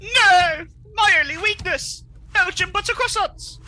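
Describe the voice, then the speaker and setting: Bright, forceful, melodramatic comic villain. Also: male voice, very high-pitched, measured pace, slight background noise, heavily acted. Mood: furious. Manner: melodramatic, grandly pompous. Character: flamboyant, egotistical comic villain, theatrical and petulant. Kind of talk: comedy radio play acting.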